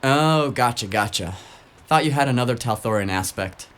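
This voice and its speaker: A man's voice: mid-range voice, animated, normal speed, a bit bright, natural voice. A man playing a brash, foul-mouthed, teasing tech sidekick in a noir audio drama, snarky and colloquial. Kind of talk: noir audio drama acting, casual banter.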